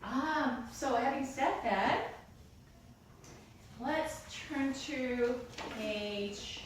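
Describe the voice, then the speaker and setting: A woman's voice: high voice, extremely slow, far from the mic, slight background noise. A woman teaching a class, encouraging and hesitant, with many fillers. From United States, East Coast. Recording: echoey classroom recorded from afar.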